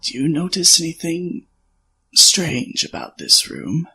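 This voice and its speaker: An actor playing an old, weary scientist in a science fiction audio drama, speaking in a measured, explanatory way with a creaky voice. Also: male voice, on the high side, sing-song, pushed and bright, exaggerated voice. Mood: wistful, grave. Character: old, worn male scientist, weary, reflective friend. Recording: music under the voice.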